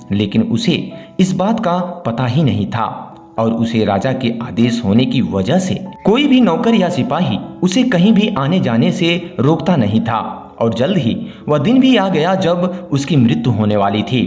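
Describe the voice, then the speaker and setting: A man's voice: mid-range voice, darkish tone. A man narrating a scripted moral story as a storyteller. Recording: roomy echo, music behind. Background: background music.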